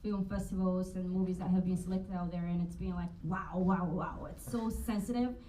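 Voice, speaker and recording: Actress, heard from a distance. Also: female voice, lowish voice, moderate pace. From Bulgaria. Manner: animated, thoughtful storytelling. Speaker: actor on a panel. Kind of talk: panel Q&A answers. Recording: distant mic with faint noise.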